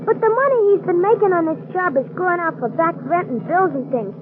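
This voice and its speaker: A woman's voice: very high voice, very animated, fairly quick. A woman voicing an eager, streetwise young boy with slangy, earnest talk, in 1940s radio acting. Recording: tinny lo-fi old radio broadcast with hiss.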